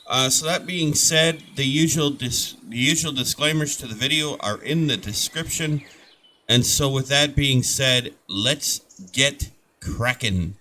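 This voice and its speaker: Bright-voiced man getting over a cold. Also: mid-range voice, unhurried, slight background noise. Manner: rambling and chatty, with many restarts. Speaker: gaming channel host. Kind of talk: casual gameplay commentary.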